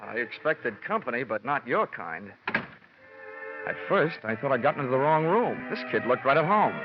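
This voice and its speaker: An actor playing a cocky, wisecracking insurance investigator in an old radio detective drama, with a sardonic, slangy delivery. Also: male voice, mid-range voice, very animated, moderate pace, natural voice. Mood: sardonic, guarded. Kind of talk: 1940s-50s radio detective drama acting. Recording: dull vintage radio recording, music behind.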